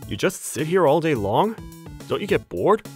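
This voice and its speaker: Low, easygoing wolf boy played by a woman. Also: very animated, moderate pace, soft background music. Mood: encouraging. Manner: reassuring, laid-back, encouraging. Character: easygoing, protective, kind wolf-eared young man. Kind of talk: anime manga dub acting.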